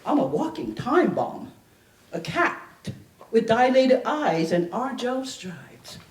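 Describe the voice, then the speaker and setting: Echoey, slow, dramatic woman poet. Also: on the high side, big pitch swings, a little mellow. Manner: theatrical, deliberate phrasing with long pauses. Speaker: poet performing at a library reading. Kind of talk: dramatic poetry recitation. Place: indoor library event space. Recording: echoey room, public event recording.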